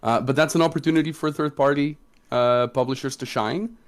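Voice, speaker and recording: A man's voice: a little high, brisk. Casual man co-hosting a livestream chat. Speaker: tabletop game designer and stream co-host.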